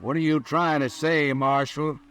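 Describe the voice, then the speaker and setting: An actor playing a crooked, defiant cowboy with a sarcastic manner and an American Western accent in a radio drama. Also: male voice, on the high side, fairly even intonation, a little slow, fairly bright tone, clean tone. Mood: defiant, sneering. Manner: challenging, sarcastic. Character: smug, crooked, defiant cattle-selling cowboy. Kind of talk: old-time Western radio drama acting. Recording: vintage radio broadcast recording.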